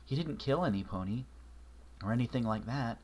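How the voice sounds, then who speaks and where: A man reading a story aloud, voicing an anxious, bookish young pony mare who talks earnestly and often in a hushed voice. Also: mid-range voice, lively intonation, a little fast, lightly acted. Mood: reflective.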